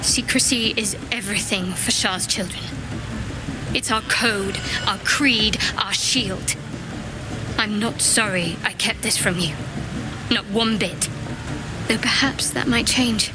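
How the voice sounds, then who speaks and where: A woman's voice: medium pitch, slow pace, close to an everyday voice. A woman playing a guarded, devout young half-elf cleric in a video game, terse, defensive and earnest. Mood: defiant. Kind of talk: video game dialogue acting. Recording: noisy rain ambience behind the voice.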